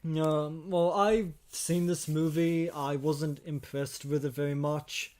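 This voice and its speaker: A man hosting an unscripted film discussion on a podcast, speaking in a halting, hesitant way. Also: on the high side, steady tone, darkish tone. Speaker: podcast host.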